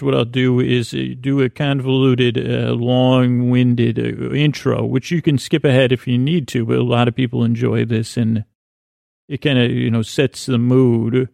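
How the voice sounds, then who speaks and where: Gravelly, rambling male sleep podcast host. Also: mid-range voice, dry recording, clean studio sound. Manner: meandering, halting, many fillers and restarts; soft and gentle. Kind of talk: rambling bedtime podcast intro.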